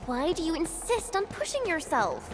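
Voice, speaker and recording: A woman's voice: very high voice, huge pitch swings, normal speed, over music, full character voice. A woman voicing an anxious, caring young female subordinate in a video game, urgent and earnest. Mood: alarmed, worried. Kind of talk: scripted video game dialogue.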